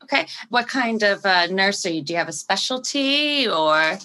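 A woman's voice: medium pitch, lively intonation, moderate pace, pushed and bright. A woman guest-hosting an interview, asking friendly questions in an upbeat, casual way.

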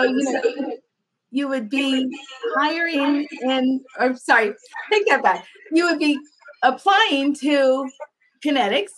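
A woman's voice: high voice, fairly bright tone, a little hiss or hum, low-quality recording. A woman speaking as a panel guest, chatty and explaining step by step, at times correcting herself. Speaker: sales manager, panel guest. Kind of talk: online panel talk.

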